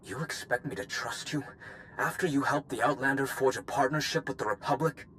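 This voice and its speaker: An actor playing an embittered, grieving ex-guard bent on revenge in a video game, solemn, brooding and intense. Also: male voice, medium pitch, fairly quick, fairly bright tone, a little hiss or hum, natural voice. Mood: suspicious. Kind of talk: dramatic video game cutscene acting.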